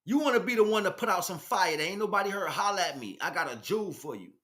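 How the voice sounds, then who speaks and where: A man's voice: sits high, expressive, quick pace, sharp tone. An American man delivering an informal spiritual monologue as a teacher, speaking emphatically in streetwise slang and addressing listeners directly.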